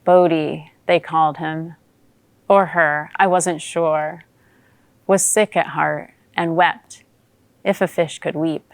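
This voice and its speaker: A woman performing a dramatic monologue as a wry, watchful female newt, in measured theatrical storytelling. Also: deep voice, fairly even intonation, gravelly, no echo, full character voice. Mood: somber, wry.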